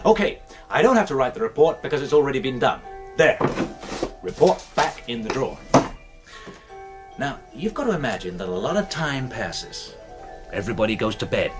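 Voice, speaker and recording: An actor in a radio drama playing a theatrical stage magician with showy, commanding patter. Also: male voice, medium pitch, very animated, normal speed, pauses often, over music, lightly acted. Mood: intent, commanding. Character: theatrical stage magician re-enacting a murder for onlookers.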